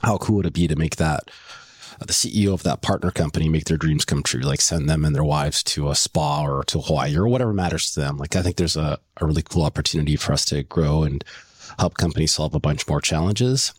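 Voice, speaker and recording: A middle-aged man, a company co-founder, telling stories and pitching his plans with animated enthusiasm on a podcast. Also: quick pace, breathy edge, no echo. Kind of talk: casual podcast chat.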